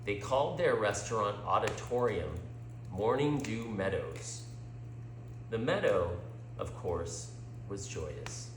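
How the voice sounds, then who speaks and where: A man reading a scripted story aloud in a deliberate, dramatic narrating style with pauses. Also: fairly low pitch, lively intonation. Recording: roomy echo with clear background hum.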